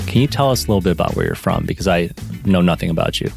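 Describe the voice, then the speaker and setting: A man hosts a podcast interview, asking curious, playful questions and often tripping over his own words. His voice is low and gravelly with fry, recorded dry and close, with faint music underneath. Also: lively intonation, rapid-fire, darkish tone. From Michigan, United States. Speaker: podcast host. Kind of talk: conversational interview.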